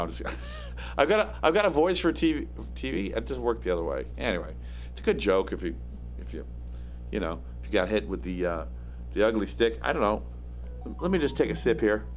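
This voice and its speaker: Low gravelly raspy guy, kinda nasal, rambling on from his home studio mic, little hum under it. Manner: rambling, self-deprecating, many fillers and restarts. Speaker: host. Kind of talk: casual livestream talk. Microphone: studio microphone. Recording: faint hum in the electronics. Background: faint electrical hum.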